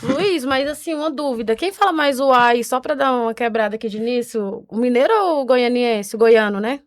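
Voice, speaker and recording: A woman's voice: on the high side, normal speed, no room sound, clean studio sound. A woman with a Goiás Brazilian accent hosting a casual podcast in a chatty, slangy, exclamatory way. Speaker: podcast host.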